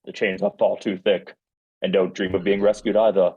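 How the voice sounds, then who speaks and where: A man playing a grim dungeon keeper with a husky voice, giving matter-of-fact warnings in a table read. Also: deep voice, flat delivery, normal speed, mellow, highs cut off. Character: grim, menacing albino torturer's keeper of a dungeon. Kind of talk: live script table read.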